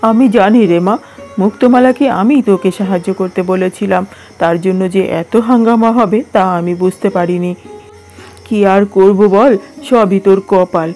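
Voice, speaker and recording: A woman's voice: moderate pace, a little mellow, soft background music, close to an everyday voice. A woman doing a weary, resigned father. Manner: lower, tired, resigned tone. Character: weary middle-aged father, gentle and resigned.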